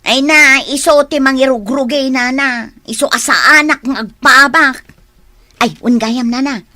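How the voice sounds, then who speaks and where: An actress playing an envious, competitive housewife in a radio comedy drama, indignant and catty. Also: female voice, high-pitched, fairly even intonation, normal speed, sharp tone. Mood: smug. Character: envious, competitive housewife scorning her show-off neighbour.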